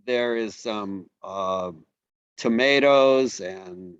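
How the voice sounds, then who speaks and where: A man presenting food photos in a casual online class, relaxed and halting with many fillers. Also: on the low side, smooth, dry recording, muffled recording. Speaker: host presenting slides.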